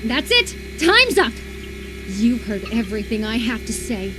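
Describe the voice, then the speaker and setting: A woman's voice: fairly high pitch, big pitch swings, a little slow, noisy background. A woman voice-acting an unhinged, obsessive lover in a horror visual novel, intense and volatile, swinging between tenderness and menacing fury. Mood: menacing, impatient. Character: unhinged, obsessive lover convinced an impostor replaced their beloved.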